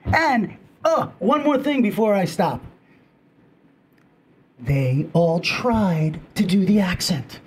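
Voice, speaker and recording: Animated man co-hosting a chat show. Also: sits high, slow, pauses often, a little hiss or hum. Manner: animated, excitable. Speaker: co-host. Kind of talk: casual chat.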